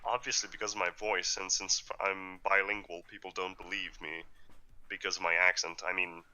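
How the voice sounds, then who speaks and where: A teenage boy from Slovenia with a Slovene accent and a deep voice, rambling through a casual, jokey podcast chat. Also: little pitch movement, a little fast, bright, forceful tone. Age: teens. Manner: rambling, jokey, says "like" and "dude" a lot. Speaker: podcast co-host.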